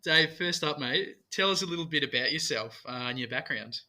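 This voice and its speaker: A man's voice: fairly high pitch, animated, a little fast, sharp tone. An Australian man hosting a podcast, a bit nasal from a cold, reading a scripted intro and then chatting and joking with his guest. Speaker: podcast host. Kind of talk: podcast interview. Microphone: earphones.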